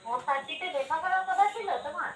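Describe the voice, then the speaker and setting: A woman's voice: extremely high pitch, fast talker, fairly bright tone, soft background music. A woman in a casual phone conversation, asking questions insistently. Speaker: call recipient. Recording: echoey phone call with faint noise.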